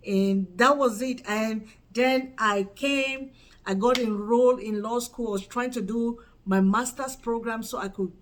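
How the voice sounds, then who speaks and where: A woman from Cameroon, giving an earnest personal faith testimony and repeating words for emphasis. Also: a little high. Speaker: channel host giving a testimony.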